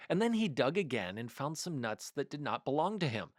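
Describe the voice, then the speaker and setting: A man's voice: fairly high pitch, very animated, a little fast, no room sound. Man reading a children's storybook, dry close sound. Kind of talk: scripted narration, children's read-aloud.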